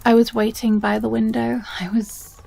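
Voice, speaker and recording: A woman with a British accent playing an obsessive, possessive, unstable stepsister in a dramatic audio roleplay, speaking haltingly with heavy pauses. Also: on the high side, level tone, gravelly, mellow, husky, full character voice. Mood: anguished, unhinged.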